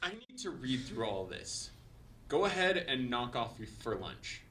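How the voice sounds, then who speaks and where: Self-righteous young mayor acted by a man, bright and defensive, for comedy table read, distant mic with faint noise. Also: mid-range voice, very animated, measured pace. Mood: shaken, curt.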